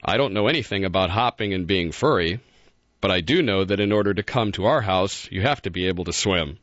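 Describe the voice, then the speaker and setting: A man voicing a practical male beaver who speaks in a quick, matter-of-fact way. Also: low-pitched, lively intonation, fairly bright tone, no room sound, muffled recording.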